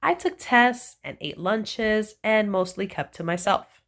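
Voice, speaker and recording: A woman reading a children's picture book aloud, with slow, playful storytelling and animated emphasis. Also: mid-range voice.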